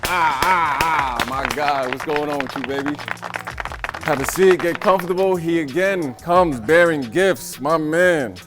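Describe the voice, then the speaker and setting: A man's voice: fairly high pitch, unhurried, over music. Hyped, slangy man hosting a show. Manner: hyped, slangy, calls guest baby and bro. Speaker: host and chef. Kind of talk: upbeat show hosting, casual chat.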